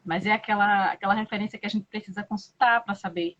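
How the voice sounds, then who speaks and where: Brazilian woman hosting a live chat, mid-pitch, slow and reflective, lots of pauses, kinda muffled lo-fi audio. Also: steady tone, fairly bright tone, slight background noise. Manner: slow, thoughtful, frequent "né?" and hesitations. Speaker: live-stream host. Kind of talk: casual live-stream interview.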